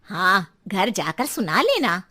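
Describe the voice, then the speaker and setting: A woman voicing a sharp-tongued, excitable neighbourhood wife with a scolding, sarcastic manner in a cartoon. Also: medium pitch, takes it slowly, exaggerated voice.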